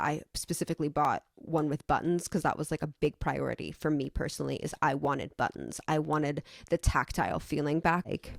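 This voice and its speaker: A woman's voice: deep voice, creaky, no echo, clean studio sound. A young adult woman vlogging in a casual, rambling way, opinionated and emphatic, with some swearing.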